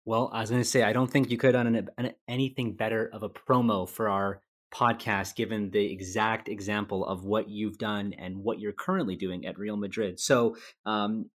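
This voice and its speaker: A man's voice: on the low side, fairly even intonation, normal speed, few pauses. A man hosting a podcast, introducing a guest in a chatty, easygoing way. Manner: chatty, easygoing, with fillers and restarts. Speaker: podcast host.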